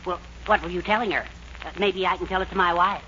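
A man's voice: sits high, fairly even intonation, quick pace, lightly acted. An actor playing a genial, wry, middle-aged fatherly American man in a 1940s radio comedy. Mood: jovial. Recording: narrow-band old radio recording, faint noise.

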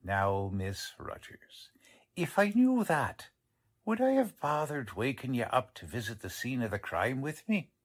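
Guy doing a gruff old-time radio cop, blunt and folksy, broken grammar, voice swinging up and down a lot. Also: mid-range voice, very animated, measured pace. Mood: matter-of-fact. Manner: folksy, ungrammatical street talk. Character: blunt, plodding middle-aged male police sergeant. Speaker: police sergeant. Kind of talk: 1940s radio drama acting.

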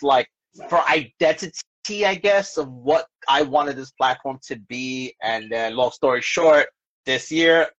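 A man's voice: medium pitch, fairly bright tone. Slightly muffled man hosting a casual interview. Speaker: show host and community platform founder. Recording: slightly dull lo-fi audio.

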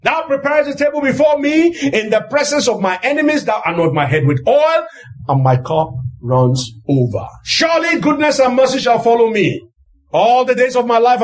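High-pitched, animated male preacher. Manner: emphatic, exhorting, often raising his voice; repetitive rhetorical phrasing. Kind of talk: sermon.

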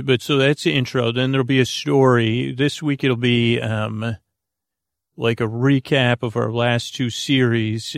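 Creaky-voiced man rambling gently off on tangents. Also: medium pitch, no echo, clean studio sound. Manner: meandering, halting, full of tangents and false starts; goofy, whimsical. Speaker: podcast host. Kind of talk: rambling sleep podcast intro.

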